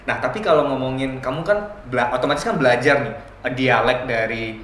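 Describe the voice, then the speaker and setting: A man's voice: medium pitch, fairly quick, roomy sound, slight background noise. A man hosting a casual interview, asking questions and agreeing often with short replies. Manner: relaxed, with frequent short agreeing backchannels. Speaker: interview host.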